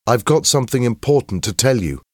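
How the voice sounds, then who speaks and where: Adventurous storybook schoolboy, lively and raised in pitch, eager and persuasive; adult male reader plays him. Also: a little fast, no room sound, studio quality, lightly acted. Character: Billy, eager daring schoolboy, curious and secretive.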